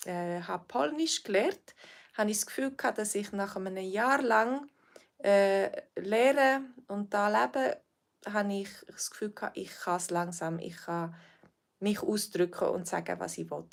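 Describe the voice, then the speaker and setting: A woman's voice: mid-range voice, unhurried, vocal fry. A Swiss woman with a Swiss accent, a language teacher answering viewers' questions on a livestream, friendly and hesitant, with frequent fillers. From Switzerland.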